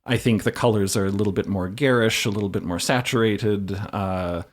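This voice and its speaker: A man's voice: on the low side, a little fast. A man playing as a guest in a tabletop game, explaining his ideas casually and thoughtfully as he thinks aloud. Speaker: guest player and writer.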